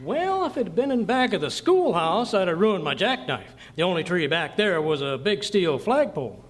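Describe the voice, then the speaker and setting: A man acting a folksy, boastful, easily flustered middle-aged husband in an old-time radio comedy, stammering and excitable. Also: high voice, moderate pace, a little hiss or hum.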